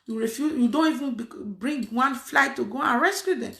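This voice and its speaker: A woman's voice: high-pitched, moderate pace, creaky, a bit bright. A woman preaching casually to an online audience, emphatic and repeating her phrases, with frequent fillers. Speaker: online prayer host. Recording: echoey room.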